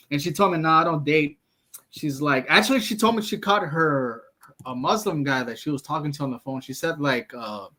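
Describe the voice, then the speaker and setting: A man's voice: medium pitch, pushed and bright. A man from the United States with a Mexican-American accent, talking bluntly and profanely in a casual streamed chat, full of slang. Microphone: streaming call mic.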